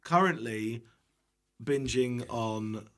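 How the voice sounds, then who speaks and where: A man's voice: mid-range voice, very slow, fairly bright tone. A British man speaking as a podcast guest in casual comedic chat, joking and sweary in an irreverent way. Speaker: podcast guest, online cook.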